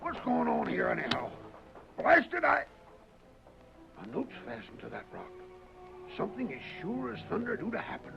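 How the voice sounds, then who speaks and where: An actor playing a grumpy sheriff just roused from sleep, blustering and muttering in a gravelly, husky voice in an old radio drama. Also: male voice, high-pitched, huge pitch swings, normal speed, long pauses, soft background music, full character voice. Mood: startled, grumbling. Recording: dull lo-fi old radio recording.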